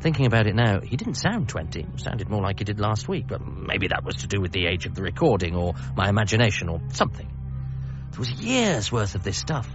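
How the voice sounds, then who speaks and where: An actor playing a wry, wistful British son, narrating a radio comedy drama in the first person with dramatic pauses. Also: male voice, on the low side, big pitch swings. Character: bereaved ordinary son, wry and wistful storyteller. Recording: dull band-limited sound with music behind.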